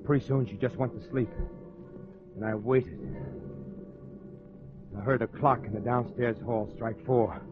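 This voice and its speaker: An actor playing a terse, commanding, scheming crook in a 1940s radio suspense drama. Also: male voice, mid-range voice, fairly even intonation, a little slow, long pauses, music in the background, natural voice. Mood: tense, measured. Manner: terse, commanding, tough-talking. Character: hard-boiled cold scheming male crook and husband. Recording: dull, noisy old radio recording.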